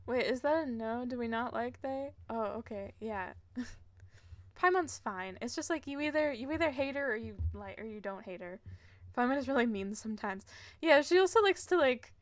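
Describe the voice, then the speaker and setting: Female game streamer: slightly high voice, dry close sound, casual rambling commentary, giggly delivery. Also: lively intonation, moderate pace, no echo.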